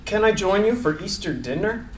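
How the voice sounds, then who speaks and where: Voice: medium pitch, lively intonation, normal speed, a little hiss or hum, natural voice. An actor playing a small, naive baby rabbit who is lonely, hopeful and politely earnest. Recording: echoey room.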